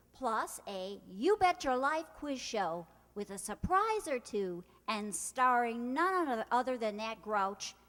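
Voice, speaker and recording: Female co-host, fairly high voice, playfully chiding announcer banter, reverberant hall. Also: fairly bright tone. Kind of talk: scripted live stage announcement banter. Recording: echoey hall.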